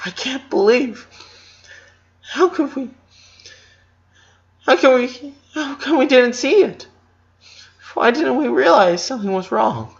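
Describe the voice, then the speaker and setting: A man voicing a distraught, loving mother in a dramatic story reading, halting and repeating her words as she pleads. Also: very high-pitched, lively intonation, creaky, lo-fi sound, voice pushed up, full character voice. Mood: anguished.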